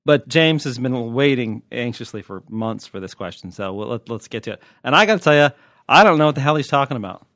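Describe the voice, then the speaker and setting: An American man talks as a podcast host, loose and unscripted. He wanders off on tangents and jokes irreverently, with a medium-pitched voice. The sound is dry and close, with very little room. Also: animated, brisk, a bit bright, no echo. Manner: irreverent, joking, digressive, mildly profane. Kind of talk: casual, unscripted podcast chat.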